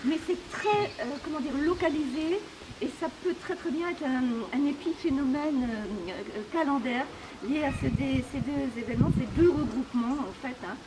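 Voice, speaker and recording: A woman giving an unscripted official briefing, speaking in formal but halting, hesitant phrases. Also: high-pitched. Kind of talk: spoken press briefing, unscripted. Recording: clearly noisy on-location recording.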